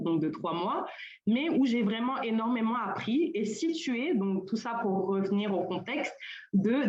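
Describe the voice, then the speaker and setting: A young woman from Senegal speaks at a middle pitch in an informal panel talk, pausing often with fillers and restarting her phrases. Her voice comes over a video call that sounds roomy and dull. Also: a little mellow. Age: young adult. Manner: hesitant, with many fillers and restarts. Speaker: colloquium panelist.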